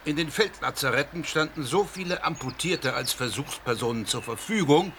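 A man's voice: a little high, expressive, normal speed, fairly bright tone, slight background noise. An actor playing a proud, self-assured older surgeon who lectures his guests in a radio play. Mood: lecturing.

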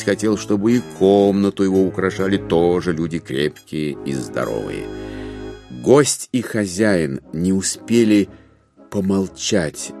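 A man narrating a classic literary audio drama in an expressive, scripted storytelling style. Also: low voice.

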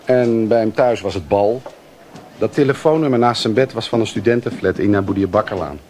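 A man's voice: lowish voice, brisk, darkish tone, slight background noise, close to an everyday voice. An actor playing a grumbling, dryly joking male police detective in a naturalistic radio drama. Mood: dry, matter-of-fact. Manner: dry, sarcastic.

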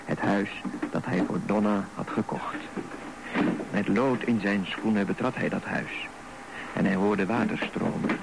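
A man's voice: on the low side, fairly even intonation, measured pace, creaky. A man narrating a scripted radio drama as storyteller. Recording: noisy radio broadcast recording.

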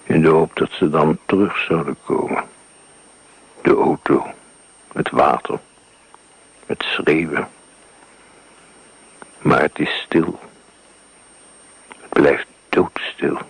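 An actor playing an older writer haunted by a wartime firestorm, speaking slowly in a hushed, breathy, gravelly voice in a radio play. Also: male voice, very deep voice, fairly even intonation, exaggerated voice, rough recording. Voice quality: gravelly, breathy, half-whispered. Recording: old radio broadcast recording.

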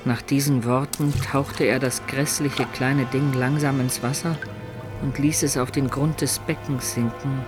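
A man narrating a dark adventure tale in the first person for a German radio play, measured, composed and literary. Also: medium pitch, flat delivery, over music, lightly acted.

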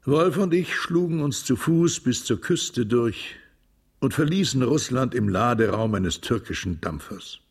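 Gravelly, wistful émigré veteran. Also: male voice, mid-range voice, expressive, measured pace. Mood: reminiscing. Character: wistful male Russian émigré veteran recalling old adventures. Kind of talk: radio drama dialogue, storytelling.

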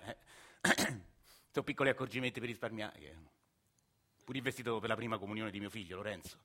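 An actor in his forties playing a working-class Roman father who tells his story in a quiet, wry, confiding way, with a Roman accent. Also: medium pitch. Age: 40s. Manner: soft-spoken, rambling, wry confiding storyteller. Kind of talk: radio drama monologue.

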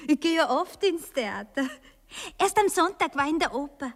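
A woman's voice: high-pitched, lively intonation, moderate pace, a bit bright. An actress playing a coy, naive nineteen-year-old Viennese working-class girl in a radio play, chattering in dialect. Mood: chatty, defensive. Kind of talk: German-language radio play acting.

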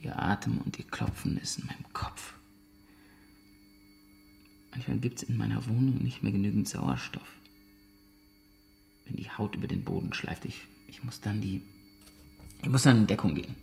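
Low, gravelly, haunted ex-soldier. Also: male voice, darkish tone. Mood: haunted, strained. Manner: halting, broken sentences, breathy near-whispers. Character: traumatised ex-soldier, haunted, guarded former team leader. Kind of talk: naturalistic radio drama, interrogation monologue.

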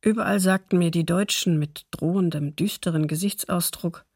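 An actress playing a subdued woman witness, husky and creaky, recounting her memories in a documentary radio drama. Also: on the low side, moderate pace, darkish tone, no room sound, studio quality. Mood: subdued, bitter.

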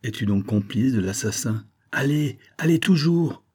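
A man voicing an impetuous male detective who barks orders, his voice raised and pitched up in an audiobook reading. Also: moderate pace, husky. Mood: urgent, commanding. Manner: commanding, shouted orders. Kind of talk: audiobook character voice.

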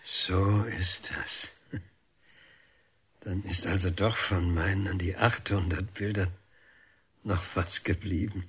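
Radio play painter, older man, husky lowish voice, wry, earthy, self-possessed. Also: fairly even intonation, slow, pauses often, fairly bright tone, exaggerated voice. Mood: reflective. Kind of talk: radio drama dialogue.

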